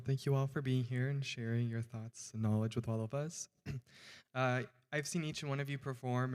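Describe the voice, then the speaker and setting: A man moderator thanks the panel and closes the event in a courteous, composed way. His voice has an even, mid pitch. The long reverb of an event hall surrounds him. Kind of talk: formal closing remarks.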